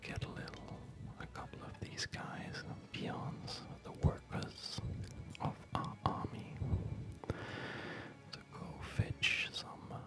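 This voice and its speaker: Slow, whispering ASMR game host. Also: loud music behind the voice. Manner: hesitant, unhurried, softly rambling. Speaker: host playing a strategy game.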